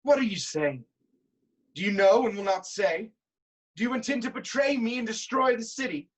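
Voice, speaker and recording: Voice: mid-range voice, normal speed, close to an everyday voice. An actor as a proud, suspicious, accusing king. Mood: angry, demanding. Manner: commanding, accusatory, declamatory. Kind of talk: dramatic classical Greek tragedy reading.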